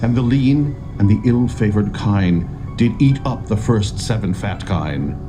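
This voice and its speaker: An actor playing a grave, regal king of ancient Egypt in a dramatised scripture reading, speaking in a stately, deliberate manner. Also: fairly low pitch, lively intonation, music in the background. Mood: troubled, grave.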